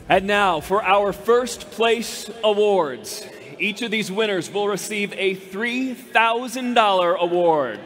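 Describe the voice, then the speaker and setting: A man in his thirties hosting an awards ceremony on an arena stage, speaking formally into a headset mic and building to emphatic, loud announcements of winners' names. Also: high-pitched. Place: large arena stage before a big audience. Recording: long echo of a big hall.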